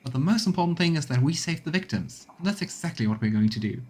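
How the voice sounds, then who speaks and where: A man's voice: a little high, expressive, fast, a little mellow, slight background noise, voice pushed up, lightly acted. A man reading game dialogue aloud as an earnest, determined cleric who reports to a commander with emphatic phrasing. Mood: grateful, eager.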